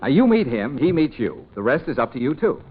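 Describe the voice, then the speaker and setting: An actor playing a brisk, scheming, bossy man with a pushy, excitable manner in old-time radio comedy style. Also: medium pitch, a little hiss or hum, natural voice. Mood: persuasive. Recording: muffled old radio recording.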